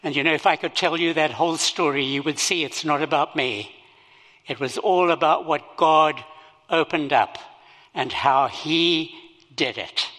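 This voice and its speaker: An older man with a Zimbabwean accent, speaking as a missionary at a church service, slow and halting with many fillers. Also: fairly high pitch, sharp tone, big-room sound. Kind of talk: sermon.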